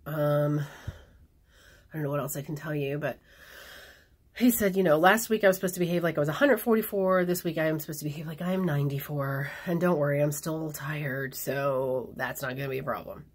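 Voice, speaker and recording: Low husky woman vlogging casually. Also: fairly quick. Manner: chatty, frank, rambling with fillers. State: hoarse after surgery. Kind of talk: casual video diary.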